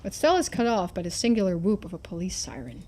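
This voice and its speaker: A woman's voice: mid-range voice, big pitch swings, fast, dark tone, slight background noise. A woman streamer reading a game's narration aloud and adding casual commentary. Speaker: streamer narrating a game playthrough. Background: game music.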